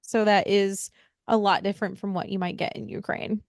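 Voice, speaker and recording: A woman's voice: medium pitch, flat delivery, normal speed, no echo, clean studio sound. Friendly woman hosting a podcast. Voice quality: friendly, encouraging questions with fillers. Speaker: podcast host. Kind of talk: podcast interview.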